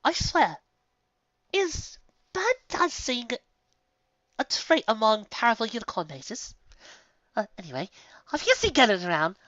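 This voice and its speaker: Male reader as a ladylike storybook unicorn, affected, high-pitched, genteel, coy, dry slightly dull sound. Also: sing-song, unhurried, pauses often, pushed and bright, no echo, exaggerated voice. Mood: polite, concerned.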